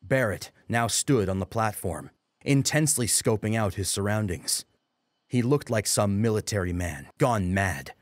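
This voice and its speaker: Male audiobook narrator, deeper register, animated dramatic storytelling, dry recording. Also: moderate pace, no room sound.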